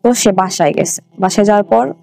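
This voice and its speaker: A woman's voice: medium pitch, fast talker, vocal fry, dark tone, faint music behind. A young adult woman with a Bangladeshi accent, a guest recounting her own life in a conversational way. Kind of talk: conversational personal storytelling.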